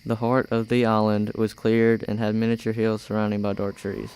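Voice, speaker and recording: A man's voice: on the low side, completely flat delivery, moderate pace, darkish tone, a little hiss or hum. A young man reading scripted story narration for an amateur radio play. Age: teenager or young adult.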